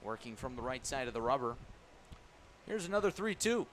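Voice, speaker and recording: A man works as a baseball radio announcer, calling the game live. His mid-pitched voice sits over a clear bed of stadium ambience and background noise. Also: very animated, brisk, fairly bright tone.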